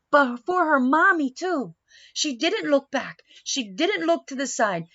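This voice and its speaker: A woman reading a picture book aloud in an animated, dramatic manner, pausing for asides and questions to young listeners. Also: high voice, dull audio. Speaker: read-aloud storyteller.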